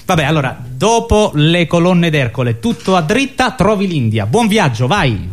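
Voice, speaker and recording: Animated, high-voiced male sketch comedian. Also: normal speed. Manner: theatrical, mock-earnest. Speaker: comic stage performer. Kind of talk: absurdist two-man sketch comedy.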